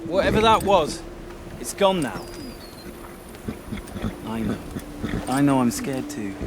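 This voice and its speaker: A young man voicing an earnest, anxious young hero in a video game cutscene, shouting when danger comes. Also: mid-range voice, big pitch swings, slow, background noise. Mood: subdued. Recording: loud orchestral game score behind.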